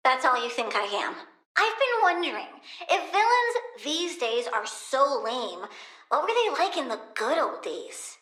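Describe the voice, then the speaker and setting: A woman's voice: sits high, sing-song, measured pace, pushed and bright, heavily acted. A woman playing a childlike plant-human girl villain in a video game, bratty and petulant, swinging from chirpy to gleefully vengeful menace. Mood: taunting.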